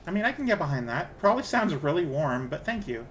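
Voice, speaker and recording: A chatty man talks casually while he plays a game, wandering from thought to thought and restarting sentences. His voice sits a bit high for a man. A faint hiss runs underneath. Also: sing-song, fast talker, a bit bright. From Canada. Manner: rambling, chatty, restarts a lot. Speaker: streamer. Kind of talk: casual chat while gaming.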